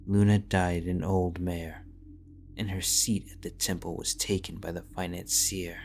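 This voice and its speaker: A man narrating a fantasy story audiobook in a slow, measured, somber way. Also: deep voice, level tone, creaky, darkish tone. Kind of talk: scripted fanfiction narration. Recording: music bed under the reading.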